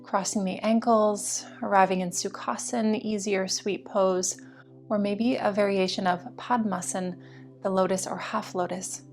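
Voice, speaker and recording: A woman yoga teacher guiding a meditation practice in a soothing, slow and deliberate way. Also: mid-range voice, fairly even intonation, a little mellow. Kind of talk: guided meditation instruction. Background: music.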